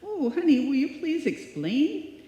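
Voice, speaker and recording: A woman's voice: sits high, sing-song, unhurried, warm tone. A woman playing a ditzy, lovelorn young woman who calls out plaintively in a live old-time radio comedy. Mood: puzzled. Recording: echoey hall, live stage performance.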